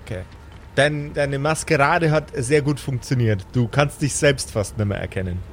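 A man's voice: medium pitch, normal speed, slight background noise. A man, narrating an improvised tabletop game as game master.

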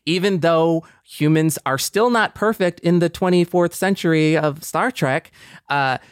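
A man's voice: fairly high pitch, a little slow, clear, resonant tone, no echo, clean studio sound. A man hosting a reflective, casual podcast discussion in an appreciative, agreeable manner. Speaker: podcast host.

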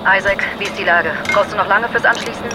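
A woman's voice: mid-range voice, steady tone, fast, natural voice. An actress playing a blunt, dry-witted woman astronaut leader in a radio drama. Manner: blunt, teasing. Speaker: mission commander Anna. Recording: loud storm noise behind.

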